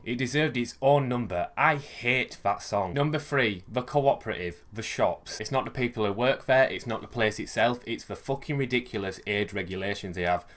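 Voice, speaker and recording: A young man from West Yorkshire with a broad local accent rants to camera in a vlog. His voice sits in an ordinary middle pitch, and he sounds sarcastic and fed up. He swears constantly. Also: a bit bright. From West Yorkshire, England. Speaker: vlogger. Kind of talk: ranting comic monologue to camera.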